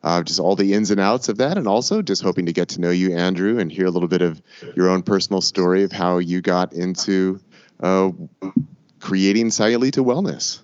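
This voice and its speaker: Man, podcast host, very deep voice, congested, dry close sound, rambling casual delivery. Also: a little fast, clean tone, no echo, highs cut off. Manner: relaxed, rambling with fillers. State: congested from a cold.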